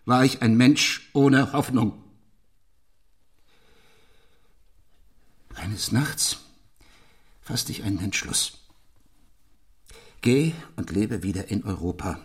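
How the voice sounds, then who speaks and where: An actor in a German radio play playing a bitter, brooding middle-aged English gentleman who confesses his past in an intense, deliberate way. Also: on the low side. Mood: defiant, bitter. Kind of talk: German radio play acting, dramatic monologue.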